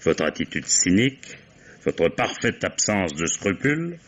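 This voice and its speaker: An actor playing a shrewd, mock-courteous, methodical German police commissioner interrogating suspects in a vintage French radio mystery. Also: male voice, deep voice, very animated, a little slow, full character voice. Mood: ironic, accusing. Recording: dull old radio-play recording.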